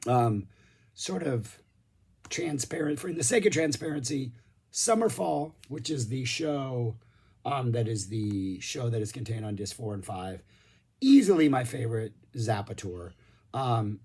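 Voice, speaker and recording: Hoarse guy losing his voice, raspy, rambling on about an album he loves. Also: mid-range voice, a little mellow. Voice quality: husky. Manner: rambling and enthusiastic, many ums. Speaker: music reviewer. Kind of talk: casual music review vlog.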